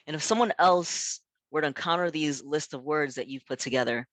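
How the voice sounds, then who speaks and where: A man's voice: fairly high pitch, fairly quick, a bit bright, no room sound. A man from South Africa with a Midwestern American accent, a minister giving a conversational workshop, earnest and full of "you know" and "right?". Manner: earnest and emphatic.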